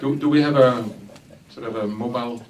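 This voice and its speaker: A man's voice: medium pitch, fairly even intonation, fairly quick. A man moderating a conference session, organizing questions and often repeating words as he goes. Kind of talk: chairing a meeting. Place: conference meeting room. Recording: distant mic, faint noise.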